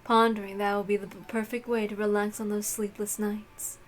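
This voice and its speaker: A woman voicing a cruel, imperious witch mistress who speaks in a languid, haughty, measured way. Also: medium pitch, flat delivery, natural voice. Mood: cool, imperious.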